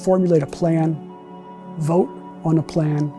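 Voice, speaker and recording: A middle-aged man giving a measured personal recollection in a documentary interview. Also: on the high side, steady tone, over music. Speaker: bereaved brother interviewed. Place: large meeting room with empty chairs.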